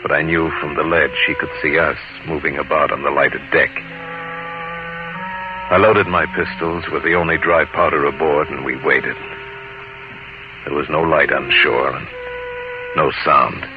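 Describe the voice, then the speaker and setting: A muffled vintage radio recording with music under it carries a weathered seafarer. His voice is very deep, and he tells his story gravely and deliberately, with brooding dramatic weight. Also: steady tone. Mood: grave, tense. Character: weathered, brooding seafaring adventurer man telling his tale. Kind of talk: old-time radio drama acting and narration.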